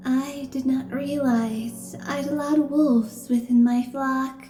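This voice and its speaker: A woman's voice: high-pitched, fairly even intonation, slow pace, mellow, reverberant, soft background music. A woman voicing a menacing, sanctimonious female deacon in a fantasy audio roleplay, speaking in a formal, pious and condescending way. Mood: cold, threatening. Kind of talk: ASMR fantasy roleplay acting.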